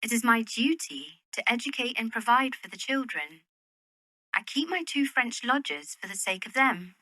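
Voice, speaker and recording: A determined young widow acted by a woman in a period drama, genteel and decisive. Her voice is high and bright, with lively swings of pitch. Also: moderate pace, no echo, heavily acted. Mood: composed. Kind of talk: period literary radio drama acting.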